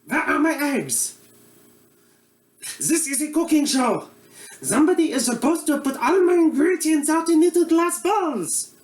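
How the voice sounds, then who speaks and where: A woman playing a bumbling, shady male Eastern European TV cook who speaks slow, broken English and gets suspiciously defensive. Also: high-pitched, sing-song, darkish tone, distant mic. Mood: indignant. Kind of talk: comic cooking show parody. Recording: roomy, from the mic, faint ambience.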